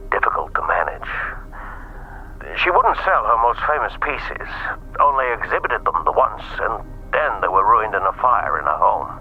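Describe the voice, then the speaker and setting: An actor playing a reserved, faintly wistful older retired agent, speaking in measured phrases over a phone in a radio drama. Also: male voice, lowish voice. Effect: telephone filter. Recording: tinny, noisy phone line.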